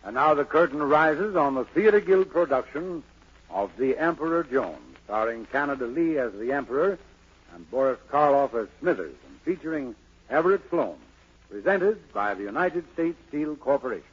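A man makes a formal scripted announcement on an old radio broadcast. His mid-pitched voice has a rough edge, and the sound is dull and dated. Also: lively intonation, normal speed.

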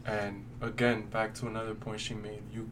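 A man's voice: on the low side, flat delivery, moderate pace. A man chatting casually as a podcast co-host, speaking in an informal, emphatic, colloquial way. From New York City. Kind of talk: casual conversational podcast chat. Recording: distant mic, faint background noise.

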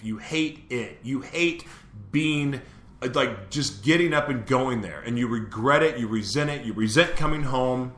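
A man's voice: mid-range voice, bright, forceful tone, reverberant. A man hosting a live motivational show, preaching to his listeners and asking them the same question again and again. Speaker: show host.

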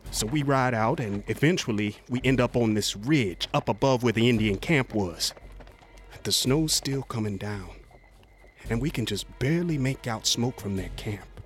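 A man acting a rough-spoken frontier cavalryman in a radio drama, haunted by what he has been through. His voice sits at a middling pitch. He tells his story haltingly, with homespun, ungrammatical phrasing, over faint music. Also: lively intonation, lightly acted. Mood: plain, uneasy. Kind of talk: dramatic first-person monologue, radio drama.

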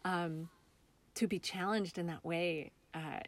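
An American woman speaking as a guest artist in a reflective interview, thoughtful and halting as she searches for words. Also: on the low side, steady tone. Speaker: guest, local artist and theatre maker.

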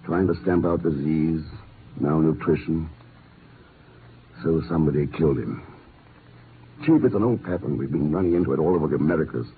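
A seasoned secret agent in an old radio spy drama. His voice is deep, his manner dry, terse and measured. The recording is muffled and a little noisy. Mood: grave, probing. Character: seasoned, cool-headed male secret agent. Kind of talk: 1950s radio drama acting.

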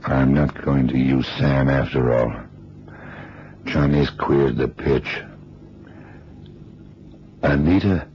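An actor playing a shrewd, seasoned investigator who muses in terse fragments, in a vintage radio drama. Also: male voice, a bass voice, takes it slowly, long pauses. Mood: brooding, calculating. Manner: terse, musing, clipped fragments. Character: shrewd, seasoned male investigator, brooding mentor. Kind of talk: 1970s radio drama monologue. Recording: muffled, hissy old radio recording.

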